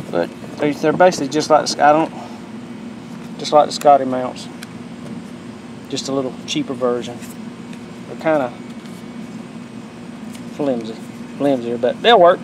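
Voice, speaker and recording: A man's voice: medium pitch, moderate pace, long pauses. A middle-aged man, chatting casually and rambling as he shows off upgrades to his boat in a backyard. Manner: rambling, folksy, self-correcting. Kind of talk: casual show-and-tell. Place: backyard outdoors. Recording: outdoor camera audio with loud background noise.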